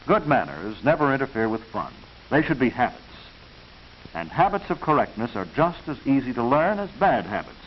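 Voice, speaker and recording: An American man reading scripted narration for a 1940s educational film, instructive and proper, with measured enunciation. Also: mid-range voice. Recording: hissy, muffled 1940s film soundtrack.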